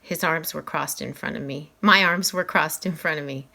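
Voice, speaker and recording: A woman reading a children's novel aloud in an expressive, emphatic storytelling style. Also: low voice, normal speed, gravelly. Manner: animated, dramatized dialogue with emphatic delivery.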